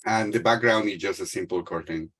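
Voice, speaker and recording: Low-voiced Mexican-accented middle-aged man. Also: a little fast, a bit bright, husky, low-quality recording. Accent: Mexican Spanish. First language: Spanish. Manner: hesitant, many fillers and restarts. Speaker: panel speaker. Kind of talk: panel talk on a video call. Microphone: video call.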